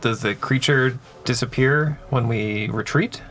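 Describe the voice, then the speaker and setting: Creaky male tabletop player, mid-pitched. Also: measured pace, fairly bright tone, a little hiss or hum. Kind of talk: casual game chat, planning aloud. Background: music.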